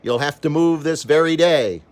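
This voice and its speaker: A man's voice: fairly high pitch, normal speed, smooth, pitched above the performer's own voice, close to an everyday voice. An older man voicing a stern, angry landlady in a children's storybook, firm and scolding. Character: stern, angry landlady who hates animals.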